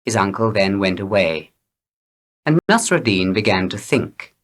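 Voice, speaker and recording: A man's voice: on the low side, expressive, unhurried, fairly bright tone. A man reading short elementary stories aloud as scripted narration for learners. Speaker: story reader.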